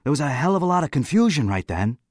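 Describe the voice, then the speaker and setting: Candid teenage schoolboy for an audiobook, friendly, flustered, spontaneous, lively swings in pitch man performing. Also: dry recording, clean studio sound. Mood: exasperated. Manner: spontaneous, earnest, halting as he remembers.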